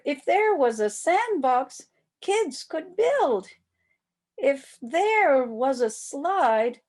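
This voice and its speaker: Determined little girl read by a woman for a picture book: very animated, drawn-out, earnest appeals. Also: high-pitched, pitched above the performer's own voice, full character voice. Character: eager, hopeful, determined young girls with big ideas.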